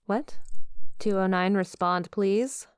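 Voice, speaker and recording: A woman's voice: mid-range voice, slow pace, clean tone, no room sound, natural voice. A woman playing a stern, uneasy research agent who questions a monster in a clipped, official way in a horror audio roleplay. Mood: firm, uneasy.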